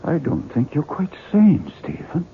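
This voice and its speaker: A man's voice: on the high side, wildly swooping pitch, measured pace, creaky, heavily acted. An actor playing a baffled, cautious male publisher in a 1970s radio drama. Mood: cautious, probing. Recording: muffled, noisy old radio broadcast.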